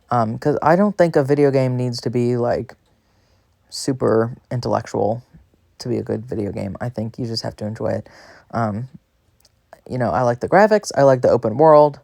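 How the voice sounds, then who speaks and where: A man's voice: medium pitch, a little mellow, dry recording, studio quality. A man rambles casually while playing a video game, chatty, self-deprecating and easily sidetracked. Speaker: gaming video creator. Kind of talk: casual rambling gameplay commentary.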